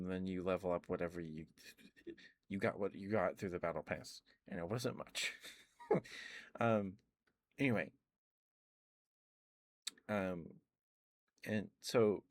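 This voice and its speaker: A man speaking softly in a chatty ASMR ramble, close to the microphone, meandering with soft chuckles. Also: low-pitched, no room sound. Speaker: ASMR streamer.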